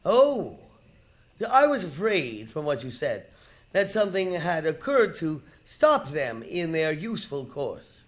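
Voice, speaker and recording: A woman's voice: low-pitched, very animated, takes it slowly, exaggerated voice. A woman playing a curt, scornful, miserly old male boss in a live radio drama. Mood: cold, scornful.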